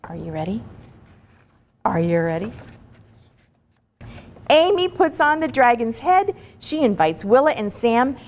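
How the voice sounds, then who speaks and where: A woman giving an expressive, chatty and encouraging read-aloud of a children's picture book, with asides to the listeners. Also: fairly high pitch, unhurried, pauses often. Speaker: story reader.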